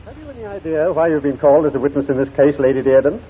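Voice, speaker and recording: An actor playing a relentless, theatrical defense barrister who questions formally and insistently in a 1940s radio courtroom drama. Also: male voice, on the high side, expressive, racing, breathy edge. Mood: pointed, formal. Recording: muffled, narrow-band 1940s radio broadcast.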